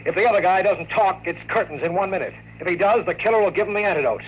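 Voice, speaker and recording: A man's voice: high-pitched, fast, slight background noise, close to an everyday voice. Hard-boiled radio detective, played by a man, muffled vintage sound. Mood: menacing. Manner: wisecracking, terse, pushy. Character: tough, cocky male private investigator narrating his case. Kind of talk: 1950s radio drama acting, hard-boiled narration. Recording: muffled old radio recording, faint music.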